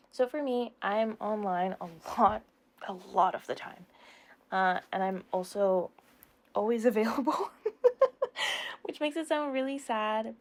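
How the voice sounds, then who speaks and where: A young adult woman answering questions in a casual, informal chat, hedging often and gently poking fun at herself. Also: medium pitch. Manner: informal, hedging, self-deprecating. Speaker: ASMR roleplay creator answering subscriber questions.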